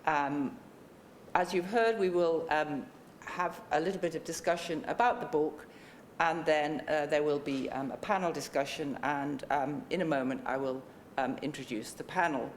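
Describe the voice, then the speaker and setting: A woman in her sixties with a notably low voice welcomes an audience at a book launch. She speaks in a measured, polite way, with frequent ums. The sound is roomy, with a faint rumble underneath. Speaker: panel chair and book co-author. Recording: echoey lecture room.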